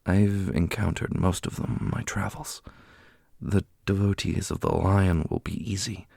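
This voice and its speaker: A man playing a solemn, strategic warrior leader in a fantasy audio roleplay, speaking in a formal, measured way. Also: low voice, fairly even intonation, creaky, darkish tone, breathy edge, dry recording, clean studio sound, heavily acted. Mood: measured, planning.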